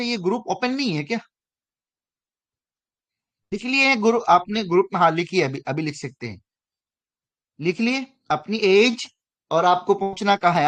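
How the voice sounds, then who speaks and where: A man in his thirties teaching an online finance class, often pausing to check that students understand and correcting himself as he goes. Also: high voice, fairly bright tone. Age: 30s. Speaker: finance course instructor.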